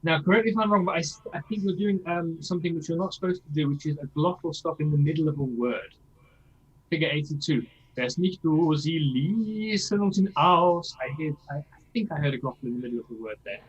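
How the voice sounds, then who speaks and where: A man's voice: fairly high pitch, brisk, breathy edge, lo-fi sound. A man coaching a singer in a masterclass, explaining things and checking in with "yeah?" as he demonstrates lines himself. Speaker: vocal coach.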